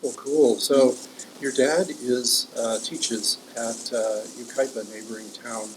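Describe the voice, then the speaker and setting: A man with a mid-range voice hosts a relaxed interview in a coffee shop. He sounds friendly and curious and often pauses on "uh." Faint noise sits behind him. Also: mid-range voice. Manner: friendly and curious, many "uh" fillers. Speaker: host, tea company owner. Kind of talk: casual interview.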